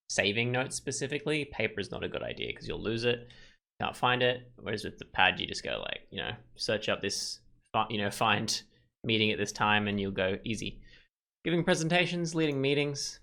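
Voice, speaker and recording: Bright-voiced young man doing game commentary. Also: lowish voice, creaky. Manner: informal, musing. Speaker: gaming video host. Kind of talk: casual let's play commentary and reading aloud.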